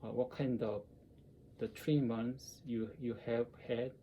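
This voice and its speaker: A man interviewing in halting English, searching for words and often humming in acknowledgment. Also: medium pitch, fairly even intonation, mellow, dull audio. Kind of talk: hesitant informal interview in English. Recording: background noise, room ambience.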